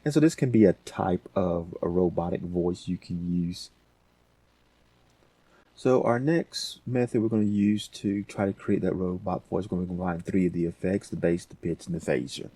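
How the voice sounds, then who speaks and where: A man's voice: low-pitched, moderate pace, warm tone, husky. A man hosting a casual audio editing tutorial, thinking aloud in a folksy, rambling way.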